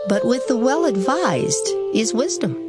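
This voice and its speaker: Female scripture reader, theatrical and formal, highly animated melody, clear music bed underneath. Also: unhurried. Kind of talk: dramatized Bible reading, expressive recitation. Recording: music behind the voice. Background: background music.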